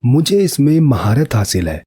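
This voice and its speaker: A man voicing a poor, earnest young village painter and devoted husband in an animated story, sincere and proud of his craft. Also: medium pitch, normal speed, breathy edge, dry recording. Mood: determined.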